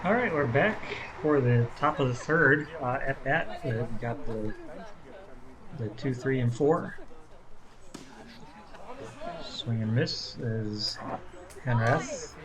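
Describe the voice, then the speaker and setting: A man who is a native English speaker, commentating an amateur baseball game in a relaxed, rambling way with many fillers, speaking as a coach. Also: mid-range voice, takes it slowly, long pauses, poor audio. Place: ballpark. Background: crowd.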